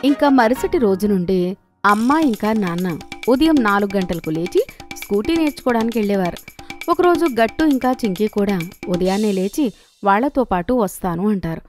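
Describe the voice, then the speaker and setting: A woman's voice: medium pitch, expressive, a little fast, a little mellow, faint music behind. A woman reading scripted narration for a children's story in speech.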